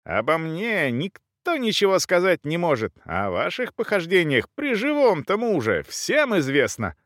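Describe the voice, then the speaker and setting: Audiobook role: male reader as irate young noblewoman, high-pitched, haughty, emphatic and scandal-making. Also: huge pitch swings, measured pace, no room sound, studio quality, heavily acted. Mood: venomous fury. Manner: indignant, shouting, emphatic. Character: young furious countess, haughty, shrill-tempered, scandal-making.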